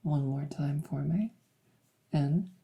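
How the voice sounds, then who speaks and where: A woman playing a gentle, reassuring veterinarian in a soft-spoken ASMR roleplay, hesitant and soothing as she explains. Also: low voice, slow, gravelly, husky, full character voice. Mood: gentle, coaxing. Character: gentle, reassuring female veterinarian examining a werewolf patient. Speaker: audio roleplay performer.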